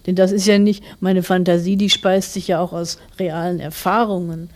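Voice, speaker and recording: A woman's voice: lowish voice, flat delivery, moderate pace, a little mellow. A woman of forty, an author answering interview questions in a reflective, careful, explanatory way.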